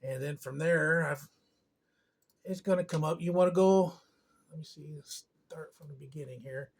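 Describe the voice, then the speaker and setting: A man giving an informal step-by-step tutorial in a halting, unscripted way, thinking aloud as he goes. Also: a little high, husky. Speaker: tutorial presenter. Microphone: camera on a tripod.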